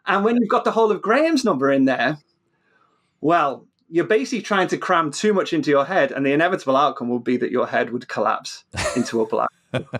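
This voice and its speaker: A man's voice: a little high, expressive, fast talker, a bit bright. A man explaining science informally as an interview guest, often repeating words and restarting, checking in with "right?".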